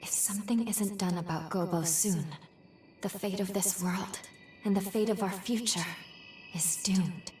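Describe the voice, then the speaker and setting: A woman acting a worried, earnest guide in a video game cutscene, speaking formally and gravely. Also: mid-range voice, fairly even intonation, measured pace, lightly acted. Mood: grave urgency. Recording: echoey, distant voice over soft music.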